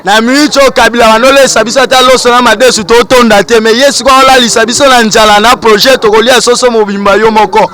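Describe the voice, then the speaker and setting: A man's voice: extremely high pitch, fairly even intonation, fast, few pauses, bright, forceful tone. A man, complaining indignantly in a street interview. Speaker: person in the street.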